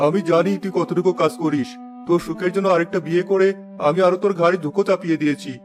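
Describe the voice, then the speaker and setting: A man's voice: high-pitched, fairly even intonation, fast, over music, close to an everyday voice. Man voicing a protective village father. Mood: firm. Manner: firm, protective. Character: caring middle-aged village father.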